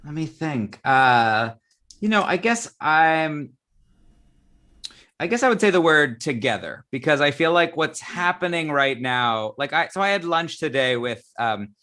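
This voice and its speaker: A man with a bright, forward voice hosts an informal live chat. He speaks conversationally and emphatically, feeling his way through each thought. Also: fairly high pitch, expressive, unhurried. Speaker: talk show host, theatre director. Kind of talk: casual live online chat.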